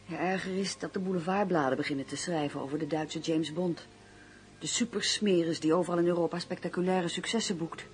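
A woman's voice: fairly low pitch, fairly quick, slight background noise, natural voice. An actress playing a worldly, cynical woman in a radio play, knowing and outspoken as she confides in a detective. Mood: wry. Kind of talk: radio drama acting.

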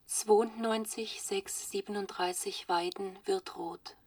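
A woman playing an obedient computer assistant in a radio play, softly announcing in a measured, impassive way. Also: medium pitch, fairly even intonation, distant mic. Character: obedient female computer voice assistant reading out status codes.

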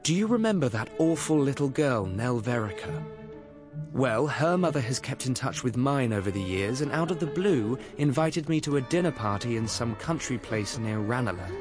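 A man's voice: medium pitch, animated, moderate pace, lightly acted. An actor playing an earnest, impulsive young Englishman, well-spoken and emotional, in a radio drama. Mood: smitten, excited. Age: young adult. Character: earnest, lovestruck, restless young Englishman, aspiring composer. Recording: music under the voice.

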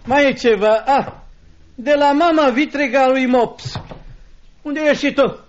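An actor playing a cruel, gloating schoolmaster in a theatrical radio drama, pompous and bullying with a mock-jovial manner. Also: male voice, very high voice. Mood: sneering, officious. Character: cruel, gloating schoolmaster tyrant, a grown man. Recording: dull lo-fi radio play recording.

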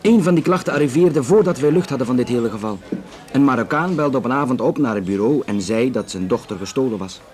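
A Flemish police investigator played by a man in a radio play, with a voice of average pitch. He explains things at length in a matter-of-fact, conversational way, hesitating now and then as he picks his words. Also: lively intonation, fast talker, darkish tone, lightly acted. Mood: matter-of-fact, narrating. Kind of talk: naturalistic radio drama dialogue.

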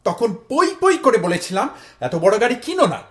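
An actor playing an excitable, exasperated middle-aged Bengali immigrant husband in a comic audio drama, exclaiming, grumbling and slipping into English phrases. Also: male voice, very high-pitched, moderate pace. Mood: indignant ranting. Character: hot-headed exasperated Bengali immigrant husband, melodramatic and stubborn. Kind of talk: audio stage-style comedy drama acting.

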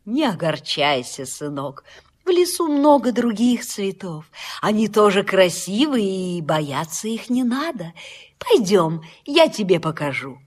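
A woman voicing a gentle, caring mother deer in a children's audio fairy tale, patient and soothing as she instructs. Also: medium pitch, big pitch swings, a little slow.

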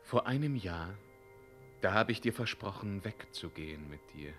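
An actor playing a bitter, weary heroic knight in a classic German radio play, theatrical, moving between hushed and thundering delivery. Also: male voice, deep voice, animated, moderate pace, soft background music. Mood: grave, tender.

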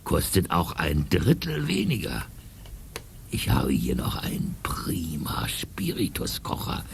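An old, shifty shopkeeper in a 1980s radio play, eager to sell. His voice sits extremely low and crackles with fry as he slowly stammers through his patter. Faint noise lies behind him. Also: wildly swooping pitch, whispered, exaggerated voice. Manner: halting, stammering sales patter. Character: shady old male shopkeeper selling stolen goods, eager, shifty. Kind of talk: 1980s German youth radio play acting.

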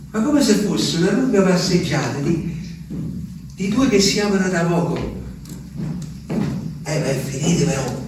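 An actor playing a simple, haunted peasant man in a halting, emphatic theatrical monologue for radio drama. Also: on the high side, background noise. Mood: rueful remembering. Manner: halting, emphatic, repetitive storytelling with dramatic swells. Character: simple, haunted Abruzzese peasant man recalling his abandoned wife. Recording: very echoey, distant stage sound with music.

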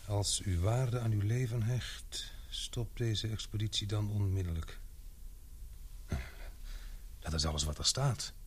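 A man acting a protective, calm adventurer in a radio play, soothing and reassuring as he talks. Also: lowish voice, unhurried, pauses often, close to an everyday voice. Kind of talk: vintage Dutch radio drama acting. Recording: noisy old radio play recording.

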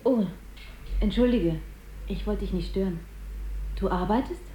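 An actress playing a journalist's wife in a radio drama, soft-spoken and conciliatory with a quiet edge. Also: female voice, medium pitch, unhurried, slight background noise, lightly acted. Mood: placating. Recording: roomy, faint background ambience.

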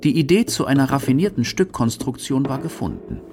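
A man's voice: mid-range voice, animated, a little fast, over music. A man reading scripted narration for a radio documentary drama.

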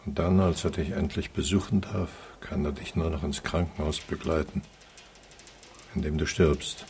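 A man's voice: a bass voice, steady tone, darkish tone, a little hiss or hum. An actor playing a melancholy man given to philosophising, speaking in a musing way in a radio drama. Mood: sombre. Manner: slow, musing. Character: melancholy, philosophising man who believes in feeling.

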